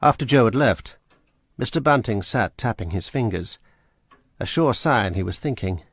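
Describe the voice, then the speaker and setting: A male narrator with a fairly low voice, reading a radio drama in a measured, dramatic way. His pitch moves a lot, and the recording is dry and close. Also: lively intonation, no room sound. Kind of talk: scripted narration.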